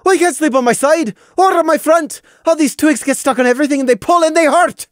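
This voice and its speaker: A man voicing a bitter, hurt young mare who snaps and shouts in a high, shrill voice. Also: animated, moderate pace, dry recording, clean studio sound. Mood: furious.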